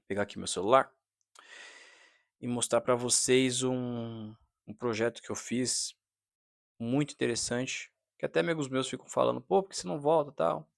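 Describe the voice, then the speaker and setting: A man's voice: medium pitch, vocal fry. A man, talking earnestly to camera in a casual vlog and confiding in his viewers. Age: 30s. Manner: earnest, confiding, frequent "né?" tags. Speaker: vlogger, fitness trainer.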